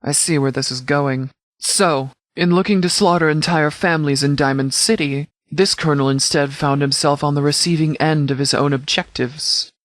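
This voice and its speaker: A man playing a stern, composed sheriff in a video game, speaking with formal, clipped military authority in a slightly higher voice. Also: steady tone, normal speed, hardly any pauses, a bit bright, no room sound, lightly acted. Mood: measured, serious. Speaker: commanding officer. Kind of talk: scripted video game dialogue.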